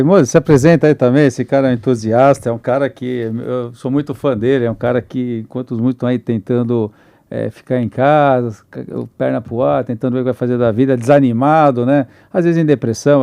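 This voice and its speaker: A man hosting a podcast, talking quickly and with enthusiasm, often restarting his sentences. His voice is gravelly, dark and mellow. The sound is dry, with no room around it. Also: mid-range voice, no echo. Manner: enthusiastic, rambling, full of restarts. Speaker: podcast host. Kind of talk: podcast host introduction.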